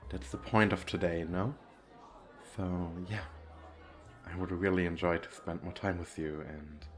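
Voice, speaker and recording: A man acting a shy, nervous, wealthy businessman on a speed date in an ASMR roleplay, talking in a hesitant, rambling, self-deprecating way. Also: deep voice. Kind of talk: ASMR roleplay, intimate flirty chat.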